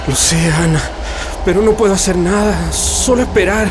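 A man's voice: high voice, moderate pace, noisy background, natural voice. A man playing a resolute, worried militant worker and loving husband in a radio drama, earnest and insistent. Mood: grave, urgent. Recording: loud music under radio drama.

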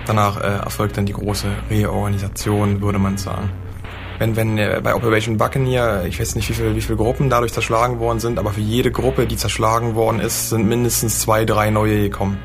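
A man explaining things hesitantly in an informal interview. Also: fairly low pitch. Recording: music under the voice.

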